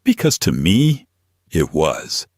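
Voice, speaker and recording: A man's voice: sits low, huge pitch swings, slow pace, husky, no echo, studio quality, exaggerated voice. A man voicing a guarded, independent shop woman in a romance audiobook, wary and plain-spoken. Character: guarded, independent woman running a dry cleaner. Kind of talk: romance audiobook character dialogue.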